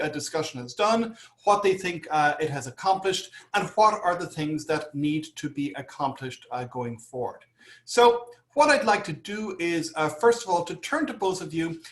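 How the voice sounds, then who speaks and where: Irish male moderator, halting delivery with many fillers, roomy echo. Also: high-pitched. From Ireland. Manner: hesitant, many fillers and restarts. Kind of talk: panel introduction over video call.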